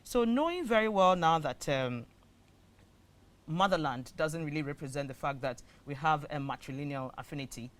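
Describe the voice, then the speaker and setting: A man with a Ghanaian English accent hosting a studio talk show, measured and explanatory, leaning on fillers as he frames the topic. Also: a little high, animated, fairly bright tone.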